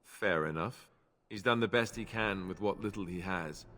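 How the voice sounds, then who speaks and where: An earnest young warrior of a knightly order for a video game. His voice is on the low side and forward, with a bright edge. He explains things in a measured, self-deprecating way, and a long reverb hangs around him. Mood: candid, modest.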